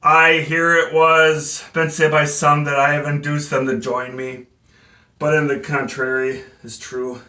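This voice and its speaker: Stage monologue: male actor as condemned abolitionist captain, defiant and devout, tense bright voice, deliberate slow emphatic delivery. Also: fairly high pitch, flat delivery. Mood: firm, solemn. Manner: halting, emphatic oratory with stumbles. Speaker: poet and playwright performing a monologue. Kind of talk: dramatic courtroom speech, theatrical monologue. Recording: echoey room, distant microphone.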